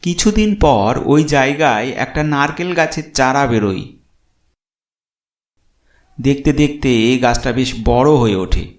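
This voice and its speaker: A man's voice: medium pitch, expressive, measured pace, pauses often. Echoey male horror-story narrator. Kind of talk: scripted horror cartoon narration. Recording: roomy echo, clear music behind. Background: background music.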